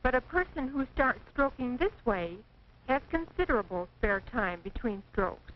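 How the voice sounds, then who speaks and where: An American woman reading scripted 1940s training film narration in a precise, measured, instructive manner. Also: fairly high pitch, big pitch swings. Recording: dull, noisy 1940s film soundtrack.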